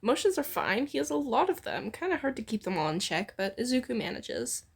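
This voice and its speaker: A woman's voice: on the high side, sing-song, brisk, vocal fry, breathy edge. A woman reads fan fiction aloud as a co-host, playfully narrating and readily breaking off to joke and giggle. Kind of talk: playful co-read story narration with banter.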